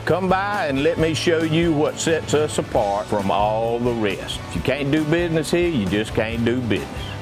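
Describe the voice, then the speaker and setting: Plainspoken man pitching his own store. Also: very animated, normal speed, few pauses, music in the background. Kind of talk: scripted local ad.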